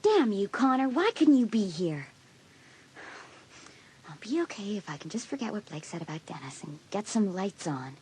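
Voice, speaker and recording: A woman's voice: medium pitch, big pitch swings, normal speed, darkish tone, close to an everyday voice. An actress playing an anxious, wistful and sensitive teenage girl in a dramatised audiobook. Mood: frightened. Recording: audiobook tape with faint noise.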